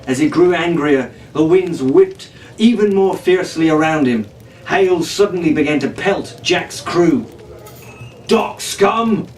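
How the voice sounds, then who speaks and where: A man reading a storybook adventure in a dramatic, theatrical, animated way. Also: a little high, measured pace. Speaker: audiobook narrator reading in character as a pirate captain. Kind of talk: dramatic scripted storybook narration. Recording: roomy, distant, noisy home-style recording.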